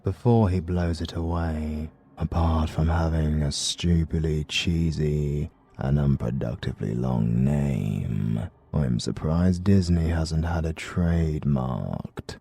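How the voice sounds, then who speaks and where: Very deep gravelly British narrator. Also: male voice, mellow. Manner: slow, deliberate, gravelly storytelling. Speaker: audiobook narrator. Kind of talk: scripted narration.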